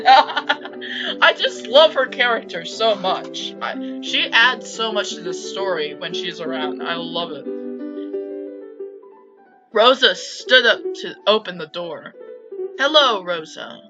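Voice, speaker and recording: A woman reading a visual novel aloud as a let's player, in an expressive, theatrical, giggly way. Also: mid-range voice, dull audio. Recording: music playing behind the voice. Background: game music.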